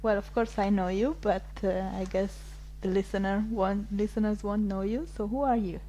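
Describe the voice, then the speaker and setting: A woman's voice: mid-range voice, steady tone, moderate pace, dark tone, a little hiss or hum, poor audio. A woman from Italy, hosting a podcast and asking her guest questions in a friendly, curious way. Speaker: podcast host. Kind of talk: casual interview chat.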